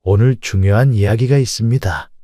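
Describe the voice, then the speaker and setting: A man's voice: lowish voice, a little fast, mellow, dry recording, clean studio sound, close to an everyday voice. A man playing a firm, principled company president, speaking formally and with authority in audiobook story dialogue.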